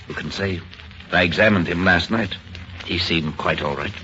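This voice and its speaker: An actor playing a puzzled, defeated country doctor in an old radio drama, speaking in a measured, earnest way. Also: male voice, low-pitched, bright, forceful tone, soft background music. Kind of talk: 1930s radio acting. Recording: hissy dull old radio recording.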